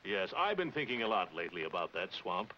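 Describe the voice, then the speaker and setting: An actor playing a pompous, scheming middle-aged neighbor in a 1940s comedy, stammering and unctuous. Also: male voice, low-pitched, very animated, fairly quick, pushed and bright, exaggerated voice. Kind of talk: 1940s radio-style film comedy acting. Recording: muffled, hissy 1940s film soundtrack.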